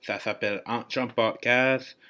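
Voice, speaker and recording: A man's voice: medium pitch, fairly even intonation, a little slow, bright, forceful tone. A man with an Acadian French accent hosting a solo podcast in an informal, joking, rambling way with bits of English slang. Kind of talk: casual podcast monologue.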